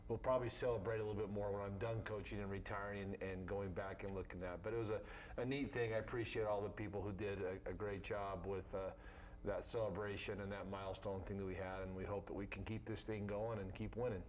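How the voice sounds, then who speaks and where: Flat, low-voiced male coach. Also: fast talker. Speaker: basketball head coach, interview guest. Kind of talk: informal interview answers.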